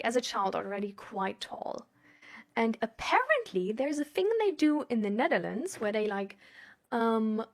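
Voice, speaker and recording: A woman from Germany chatting casually as a live host, rambling and thinking aloud in a hesitant, giggly way. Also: a little high. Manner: giggly, laughs often while talking. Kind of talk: casual chat.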